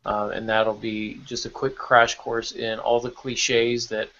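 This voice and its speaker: A man hosts a casual podcast with an enthusiastic, encouraging manner. His voice sits at an ordinary male pitch, and the sound is a bit dull and lo-fi. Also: flat delivery, unhurried, a bit bright. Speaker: podcast host.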